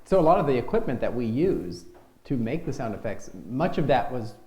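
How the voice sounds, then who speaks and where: A man's voice: mid-range voice, big pitch swings, a little fast, warm tone. A man hosting a conversational interview, prompting his guests with questions and short remarks. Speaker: interviewer, host. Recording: roomy echo.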